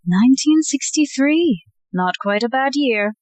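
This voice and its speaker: A woman's voice: high voice, animated, unhurried, darkish tone, no room sound. An actress playing a wry, genteel society lady in an audio play, with an arch, theatrical delivery. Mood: wry, incredulous.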